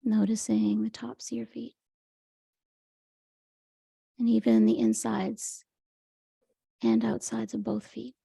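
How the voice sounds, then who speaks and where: Soft, creaky woman guiding meditation. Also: a little high, little pitch movement, no echo, studio quality. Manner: gentle, unhurried, soothing instruction. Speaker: meditation teacher. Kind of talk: guided meditation, Yoga Nidra.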